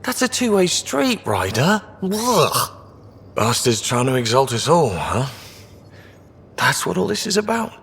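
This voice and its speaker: Wry, lively spaceship crewman. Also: a little high, unhurried, creaky, slightly rough, in a large hall, heavily acted. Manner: wry, colloquial banter. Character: wisecracking, easygoing male spaceship crewman and card player. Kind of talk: video game dialogue acting.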